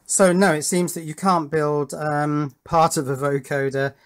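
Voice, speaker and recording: A man's voice: on the high side, steady tone, a little slow. Man explaining hands-on electronics. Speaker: electronics hobbyist video host. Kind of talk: unscripted workbench explanation.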